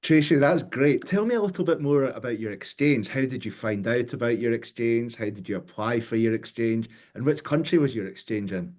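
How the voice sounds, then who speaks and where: A man's voice: mid-range voice, brisk, hardly any pauses, a little mellow. A man hosting a webinar panel over a video call, introducing students and prompting them with structured questions. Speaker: webinar moderator.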